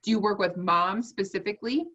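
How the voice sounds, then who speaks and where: A woman hosts a video interview, her voice at an average pitch. She talks with eager enthusiasm and lets her thoughts ramble, and the recording sounds dull and lo-fi. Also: brisk, fairly bright tone. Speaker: host. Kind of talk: interview conversation.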